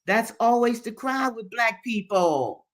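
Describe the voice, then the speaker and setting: A woman's voice: fairly low pitch, a little slow, lightly acted. A defiant man worn thin by waiting voiced by a woman reader, bitter and resolute. The speech is formal and emphatic, a rebuke delivered in storybook drama. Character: defiant, embittered enslaved man rejecting religion. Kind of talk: dramatic novel reading.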